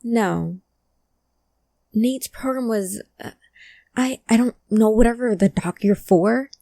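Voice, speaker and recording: A woman playing a guarded, grieving friend of a missing person in a cyberpunk audio drama, halting and emotional in delivery. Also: fairly high pitch, vocal fry, warm tone, dry recording, clean studio sound, full character voice. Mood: angry, hurt.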